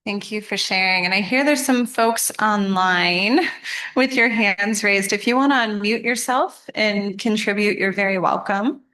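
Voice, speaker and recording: A woman's voice: mid-range voice, unhurried, bright, forceful tone. A woman presenting a program to students, interactive and encouraging, often affirming what they say.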